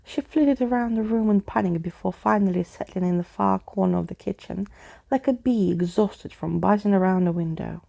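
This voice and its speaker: A woman reading a storybook aloud in a soft-spoken ASMR style, with casual asides. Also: medium pitch, normal speed, vocal fry.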